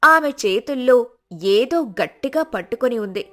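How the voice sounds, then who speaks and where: A woman's voice: a little high, expressive, measured pace, fairly bright tone. A woman narrating a scripted moral story as a storyteller.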